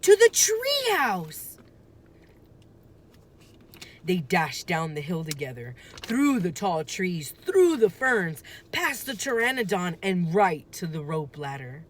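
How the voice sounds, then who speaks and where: A woman reading a children's story aloud like a teacher, with deliberate, dramatic phrasing and emphasis. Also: on the low side, big pitch swings, slight background noise.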